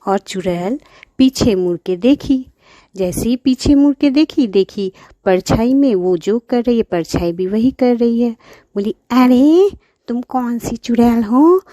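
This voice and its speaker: A woman's voice: fairly high pitch, measured pace, dark tone, no room sound, muffled recording. A woman storyteller narrating a simple story for children.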